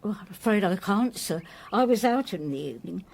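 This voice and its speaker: An actress playing a loyal, talkative housemaid in a radio drama, deferential and earnest, with a gossipy way of telling things. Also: female voice, medium pitch, animated, a little fast, vocal fry, a little mellow. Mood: respectful, earnest. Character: loyal, talkative, respectful housemaid devoted to her mistress.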